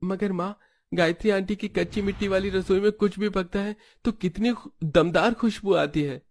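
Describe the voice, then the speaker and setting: A man's voice: sits high, normal speed, voice pushed up. A man voicing an eager, admiring young son in a cartoon story. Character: eager young son praising home food. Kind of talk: Hindi cartoon story acting.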